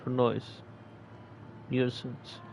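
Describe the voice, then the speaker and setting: A man playing a game, reading the on-screen text aloud in a slow, hesitant way and pausing to explain words. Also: mid-range voice, completely flat delivery, creaky, a little mellow, slight background noise, poor audio. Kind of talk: casual gameplay commentary.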